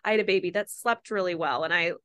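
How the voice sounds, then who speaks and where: Bubbly 30s woman guest, bright voice, dry close sound, laughs as she talks. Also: fairly low pitch, clear, resonant tone, dry recording. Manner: bubbly, animated storytelling with quick laughs. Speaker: podcast guest. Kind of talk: casual interview chat.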